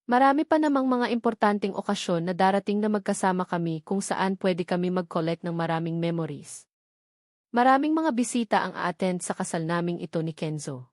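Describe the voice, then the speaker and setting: A woman's voice: fairly low pitch, a little fast, a little mellow, dry recording. Filipino woman narrating a novel, dry close sound. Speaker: audiobook narrator. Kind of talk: scripted first-person romance novel narration.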